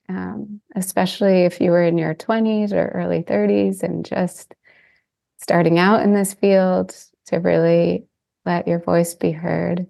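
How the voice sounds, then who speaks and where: A soft-voiced woman hosting a podcast, asking questions and relating them to her own experience. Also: lowish voice, vocal fry, no room sound, clean studio sound. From California. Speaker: podcast host. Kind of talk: conversational interview.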